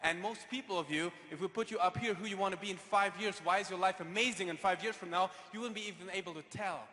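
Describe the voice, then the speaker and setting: A man giving an earnest motivational speech on stage, sometimes halting and restarting. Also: high voice, bright, forceful tone. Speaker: speaker at a business event. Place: large hall on stage. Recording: reverberant hall via PA.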